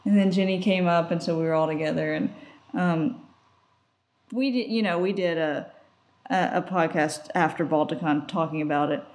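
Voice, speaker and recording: A woman's voice: sits low, moderate pace, darkish tone. A woman co-hosting a podcast, chatting casually and informally. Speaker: podcast co-host. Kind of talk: casual conversational podcast chat.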